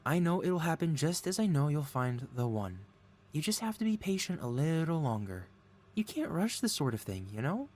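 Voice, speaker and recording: A man playing a possessive mad scientist supervillain boss in an ASMR audio roleplay, talking in a soothing, coaxing, flattering way as if innocent. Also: fairly high pitch, normal speed. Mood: soothing, reassuring. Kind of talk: ASMR audio roleplay, intimate one-sided dialogue.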